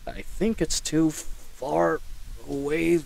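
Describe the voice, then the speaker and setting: A man's voice: a little high, fairly even intonation, slow pace, vocal fry, a little mellow, full character voice, low-quality recording. A man playing a flustered, chatty knight in a scripted audio roleplay, rambling nervously and stammering. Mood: flustered muttering. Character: babbling, flustered young male knight caught in a snare.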